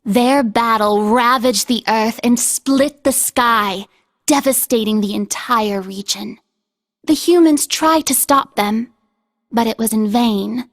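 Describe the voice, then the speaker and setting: A woman acting in a video game as a solemn storyteller who narrates an ancient legend in measured phrases. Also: fairly high pitch. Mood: solemn, grave.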